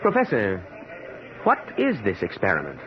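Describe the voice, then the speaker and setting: An actor playing an earnest, polite and confident author in an old-time radio drama. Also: male voice, fairly low pitch, huge pitch swings, normal speed, a crowd in the background. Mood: curious. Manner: polite, idealistic. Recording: muffled old radio recording.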